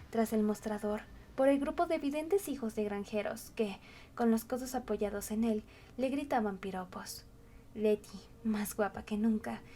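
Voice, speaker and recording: Woman reading a storybook aloud, highish voice, slightly noisy home recording. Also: dark tone. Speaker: narrator. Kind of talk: scripted storybook narration.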